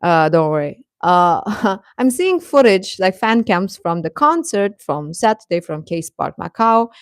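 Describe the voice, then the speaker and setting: A woman hosting a livestream, giving casual fan commentary to her chat in a rambling, halting, emphatic way that repeats points. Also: medium pitch, sing-song, no room sound, studio quality. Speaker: livestream host.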